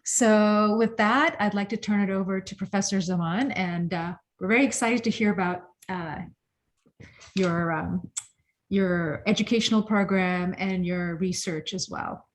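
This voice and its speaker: A woman hosting an academic seminar, introducing a guest speaker in a halting way with frequent pauses and ums. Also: medium pitch.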